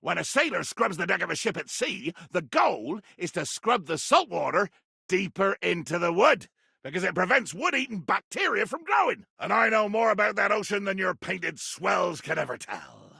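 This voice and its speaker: A man playing a pompous, belligerent sea captain in a video game, with a raspy voice and a theatrical, grandiloquent delivery. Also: high voice, big pitch swings, normal speed, sharp tone, dry recording, clean studio sound, exaggerated voice. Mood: boastful, grumpy. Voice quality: gravelly, raspy.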